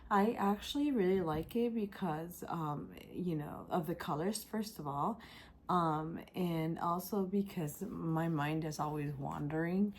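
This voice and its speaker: Vlog host, female, lower-pitched, unhurried delivery, raspy from a cold, slight background hiss. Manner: soft, hesitant, many ums. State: sore throat, raspy and congested. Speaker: craft channel host. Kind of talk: casual unboxing vlog.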